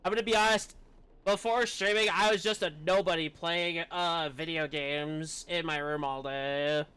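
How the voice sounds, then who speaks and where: A man with a fairly high, very smooth and clear voice talks casually on a game livestream. He sounds hesitant and confiding, speaking candidly and putting himself down as he goes. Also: steady tone, normal speed, pushed and bright. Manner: hesitant, self-deprecating, confiding. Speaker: game streamer.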